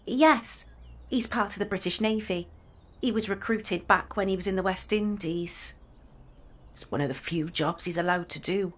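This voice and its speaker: An actress playing a devoted, proud young mother in a period audio drama, with a gentle, candid manner. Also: mid-range voice, moderate pace, lightly acted. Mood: earnest.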